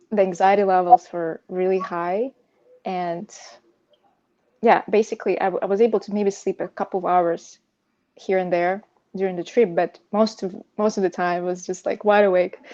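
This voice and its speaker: A woman from Russia, a native Russian speaker, telling a personal story as an interview guest in a halting, searching way. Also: medium pitch, a little mellow, muffled recording. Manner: hesitant, halting, frequent "you know". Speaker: podcast guest sharing personal insomnia story; pianist.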